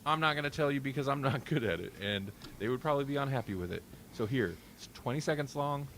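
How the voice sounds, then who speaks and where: Lively, bright-voiced man hosting a podcast. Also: mid-range voice, fast, slight background noise. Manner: rambling, joking, self-deprecating. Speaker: podcast host. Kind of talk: casual live-stream podcast chat.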